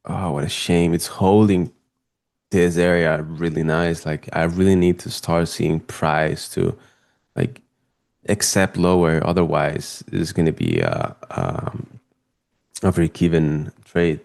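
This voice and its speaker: A young man from Spain with a Spanish accent, chatting casually and excitably as he comments on live trades for his stream viewers. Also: low voice, slow, creaky.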